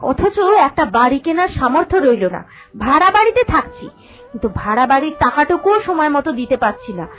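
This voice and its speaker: A melodramatic young cartoon wife, played by a woman, extremely high in pitch and swinging widely. She is emphatic, plaintive and bossy, repeating phrases for effect, over faint music. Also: low-quality recording. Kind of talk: dramatic cartoon voice acting.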